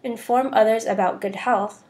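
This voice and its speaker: A young woman delivers a prepared presentation in a slightly high voice. It sounds like a student reading out points one by one for a voice-over. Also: steady tone, moderate pace, darkish tone.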